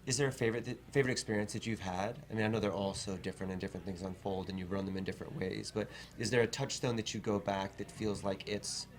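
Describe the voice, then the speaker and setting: Chill host with a really low voice, lots of "yeah, i love it". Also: steady tone, fast, few pauses, a little hiss or hum. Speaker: podcast host interviewer. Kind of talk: casual interview.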